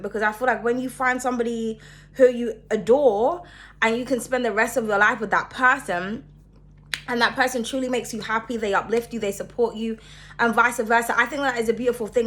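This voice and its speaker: A woman's voice: fairly high pitch, fairly bright tone. A young woman hosting her own channel, talking casually and rambling, sniffly from hay fever and often tagging "okay?" onto her points. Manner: chatty, rambling, keeps asking "okay?". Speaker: channel host. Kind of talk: casual solo talk to camera.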